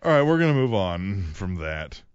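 A man's voice: low-pitched, very animated, moderate pace, clean tone, no echo, highs cut off. A man around thirty co-hosting a podcast, teasing his co-host and swearing casually. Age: 30s. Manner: teasing, swearing, reads listener tweets aloud. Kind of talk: casual wrestling podcast chat.

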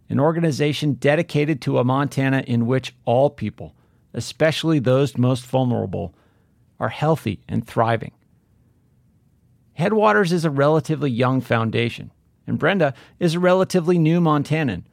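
A man's voice: mid-range voice, expressive, moderate pace, creaky, no echo, studio quality. A man hosting a podcast interview, asking questions and offering brief acknowledgments. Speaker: podcast host. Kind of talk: conversational interview.

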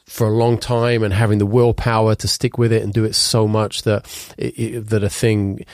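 A man's voice: on the low side, level tone, moderate pace, a little mellow, no echo, clean studio sound. A man in his thirties with a stuffed-up cold, chatting casually about chord voicings in a rambling music lesson, piano playing in the mix. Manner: rambling, restarts and fillers, occasional hummed notes. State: congested with a cold. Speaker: musician teaching composition.

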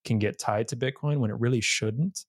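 A man of middle pitch chatting casually as a podcast host, recorded dry and close with no room sound. He rambles, says "like" a lot and often restarts his sentences. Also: normal speed, slightly rough, no room sound. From United States, Massachusetts area. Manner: rambling, heavy use of "like", restarts. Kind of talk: casual interview conversation.

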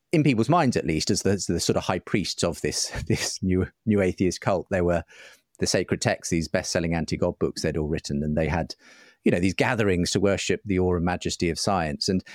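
A man's voice: sits low, dry recording, clean studio sound. A middle-aged man giving an earnest, articulate, discursive explanation in conversation as an interview guest. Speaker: interview guest, writer and broadcaster.

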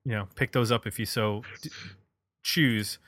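Bright-voiced man hosting a music podcast. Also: on the low side, moderate pace, no echo. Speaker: podcast host. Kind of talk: casual music discussion.

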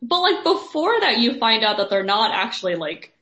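A woman's voice: fairly high pitch, sing-song, moderate pace, a bit bright. A woman co-hosting a podcast, casually discussing a story and tentatively searching for her words. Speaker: podcast co-host.

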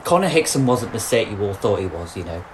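An actor playing a distraught, bitter suspended policeman in an audio drama, speaking in an anguished, halting way. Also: lowish voice, sing-song, slight background noise. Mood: defensive, anguished. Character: distraught suspended young policeman, bitter, guilt-ridden lover. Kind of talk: audio drama monologue and dialogue.

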